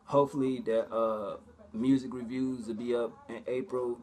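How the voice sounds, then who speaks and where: A man's voice: mid-range voice, little pitch movement, moderate pace, a little mellow. Casual, slangy man vlogging. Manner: slangy, profane, conversational. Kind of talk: casual vlog talk to viewers.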